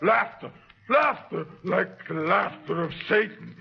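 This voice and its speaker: An actor in a 1930s radio drama playing a frightened, superstitious brute of a servant, stammering and halting. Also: male voice, sits high, lively intonation, creaky, slightly rough, heavily acted. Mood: terrified. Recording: dull old 1930s radio recording.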